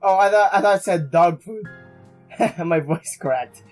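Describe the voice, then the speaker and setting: A young man doing casual let's play commentary, stumbling and rambling as he reads game text aloud. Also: high-pitched. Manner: halting, stumbling, rambling, mild swearing. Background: game music.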